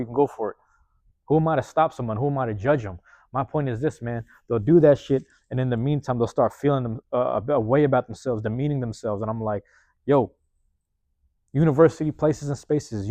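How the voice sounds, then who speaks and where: A man's voice: mid-range voice, a little mellow, no echo. Slangy man riffing, dry room. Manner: loose, repetitive, slangy street talk. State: voice going hoarse. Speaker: podcast host. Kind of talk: casual motivational monologue. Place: university room.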